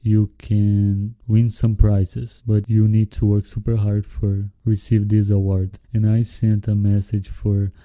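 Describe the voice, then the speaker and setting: A male podcast host speaks in a low, very dark, mellow voice with little rise and fall. His English is friendly and measured, with small non-native slips. The recording is dry and close. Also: completely flat delivery, no room sound. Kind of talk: casual interview hosting.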